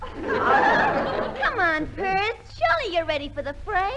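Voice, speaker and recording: A woman's voice: extremely high pitch, wildly swooping pitch, takes it slowly, bright, forceful tone, a faint crowd, full character voice. A woman playing a doting, naive, cheeky young woman with a gushing, cooing delivery in a 1950s radio comedy. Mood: bossy, teasing. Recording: noisy 1950s radio recording.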